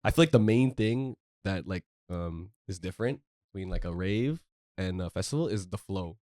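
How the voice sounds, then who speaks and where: A man's voice: low-pitched, animated, normal speed, a little mellow, no room sound, clean studio sound. A young man from co-hosting a casual podcast chat, slangy and hyped, repeating his phrases. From born in Singapore, grew up in Canada. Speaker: podcast co-host.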